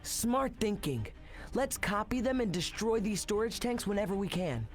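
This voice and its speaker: A man playing an eager, plucky teenage boy who leads a crew of cadets in an animated game. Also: high voice, normal speed, faint music behind. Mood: earnest.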